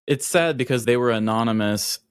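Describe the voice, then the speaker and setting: A woman's voice: rumbling low, a little fast, smooth, no room sound, clean studio sound. A woman co-hosting a podcast in casual chat, with a wry way of talking. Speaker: podcast co-host.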